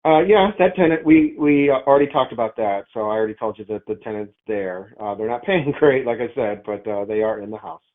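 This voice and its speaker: A man's voice: lowish voice, fairly quick, hardly any pauses. A man, a property owner, chatting casually on a phone call in an easygoing, hesitant way, thinking aloud as he talks. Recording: narrow, tinny phone line.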